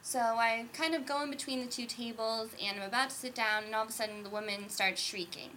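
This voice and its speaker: Animated lady guest telling a funny story, bright voice, faint hiss behind. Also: on the high side, fairly quick, poor audio. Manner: animated storyteller, laughs often. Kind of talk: casual storytelling. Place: indoors.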